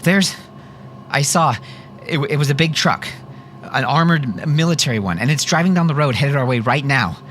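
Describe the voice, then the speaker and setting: A man's voice: fairly high pitch, lively intonation, normal speed, fairly bright tone, a little hiss or hum, voice pushed up. A man voicing an anxious man on the run, stammering with breathless urgency in an audio story. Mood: panicked.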